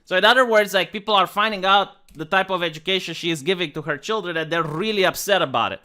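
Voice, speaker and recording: High bright-voiced man with a Romanian accent. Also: quick pace, smooth. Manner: earnest, emphatic, with frequent restarts and "like". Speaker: online commentator. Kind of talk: commentary video monologue.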